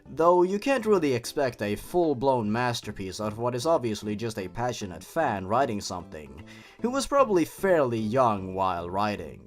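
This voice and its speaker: A young man presents scripted commentary as a show host. His mid-pitched voice leaps up and down dramatically, with sardonic, exaggerated emphasis. Music plays softly behind him. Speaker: host of a horror story review channel. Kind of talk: scripted narration and review commentary. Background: background music.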